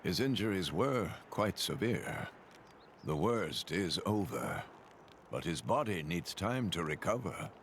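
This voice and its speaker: Dignified guardian, very low voice, lively intonation, calm, formal, game drama, faint ambience. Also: male voice, unhurried, slight background noise, full character voice. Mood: calm, reassuring. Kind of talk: dramatic game cutscene acting.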